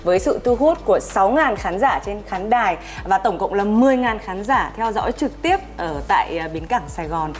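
A woman's voice: mid-range voice, expressive, brisk, few pauses. Polite woman TV host, formal questions. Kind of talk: morning TV interview. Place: TV studio set. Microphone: lapel mic.

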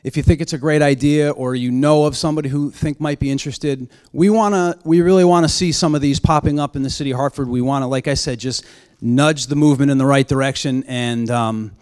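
A man with a smooth, resonant voice presents to a room in a library auditorium, speaking into a handheld mic. He sounds upbeat and keen to win the audience over. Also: fairly even intonation, brisk, a little mellow. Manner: upbeat, pitching the idea. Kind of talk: public presentation.

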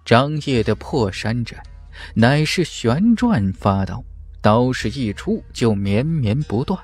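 A male audiobook narrator reads a fantasy tale with drama. His mid-pitched voice moves in lively swings, and music plays behind him. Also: takes it slowly, no room sound.

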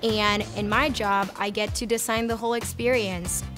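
A woman in her thirties from Puerto Rico, an engineer answering interview questions with clear, earnest explanations, recorded on an earbud mic in a lab storeroom. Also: medium pitch, fairly even intonation, moderate pace. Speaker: research and development engineer. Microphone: earbud headset mic. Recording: music bed underneath.

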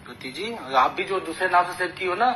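High, sharp-voiced man arguing, accusatory. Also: animated, a little fast. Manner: accusatory, repetitive. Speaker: husband in a phone argument. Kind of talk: heated personal phone argument. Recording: noisy recorded phone call, band-limited.

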